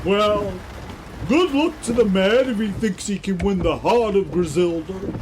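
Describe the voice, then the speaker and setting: Casting: male actor as dry comic sidekick brother, high voice with slow, drawn-out lines, skeptical temper, for audio drama. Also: noisy background.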